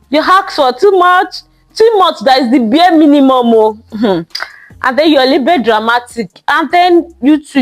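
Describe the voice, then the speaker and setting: A young woman hosting a casual monologue podcast, talking in a loud, emphatic way and drawing out the words she stresses. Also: high voice. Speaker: podcast host.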